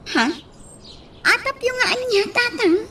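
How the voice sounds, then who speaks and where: A woman's voice: very high-pitched, unhurried, a bit bright, a little hiss or hum. A woman acting an affectionate, dutiful grown daughter in a radio drama. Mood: surprised, amused.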